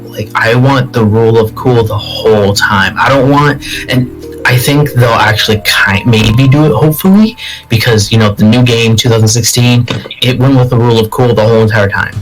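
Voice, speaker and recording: A man's voice: medium pitch, moderate pace, soft background music, poor audio. A man co-hosting a podcast, giving his opinions in casual chat. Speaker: podcast co-host.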